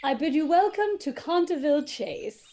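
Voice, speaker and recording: A deferential housekeeper in a radio drama. Her mellow, fairly high voice moves expressively through formal, polite lines. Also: big pitch swings, moderate pace, faint music behind. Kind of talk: radio play acting.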